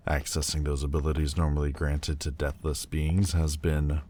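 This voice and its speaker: Man playing a very deep, haughty fallen demon lord. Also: level tone, a little mellow, a little hiss or hum. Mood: bitter, exasperated. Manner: haughty, theatrical, hesitant pauses. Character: proud, wounded male demon lord turned mortal. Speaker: audio roleplay voice actor. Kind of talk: dramatic ASMR roleplay monologue.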